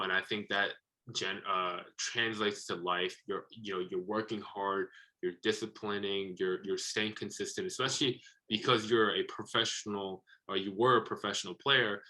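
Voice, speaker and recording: A man's voice: fairly low pitch, flat delivery, normal speed, pushed and bright, rough recording. A man hosting a podcast, asking long, rambling questions in a chatty, encouraging way. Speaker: podcast host. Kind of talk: casual interview talk.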